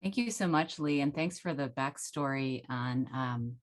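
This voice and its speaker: A middle-aged American woman telling her story as a panelist on a video call from home, animated and chatty. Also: rumbling low, measured pace. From United States. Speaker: panelist, former Fulbright scholar. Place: home room, indoors.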